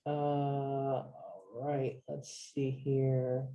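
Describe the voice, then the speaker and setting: Brief: male moderator, warm dark tone, relaxed, reads viewer questions. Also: mid-range voice, very slow, dull audio. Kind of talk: reading audience questions.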